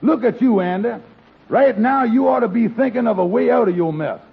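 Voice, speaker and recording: A blunt, scolding pal, high and rough-voiced, 1940s radio comedy, tinny broadcast sound. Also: male voice, a little fast, exaggerated voice. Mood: lecturing. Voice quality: gravelly, husky, ungrammatical dialect speech. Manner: lecturing, frank. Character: blunt, scolding male friend giving honest advice.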